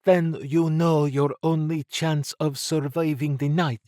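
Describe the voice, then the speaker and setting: Audiobook role: cool, sharp female secret agent played by a man, curt and commanding, pitched up from his own low voice. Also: flat delivery, unhurried, dry recording, studio quality, lightly acted. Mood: stern warning. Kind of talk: audiobook character dialogue.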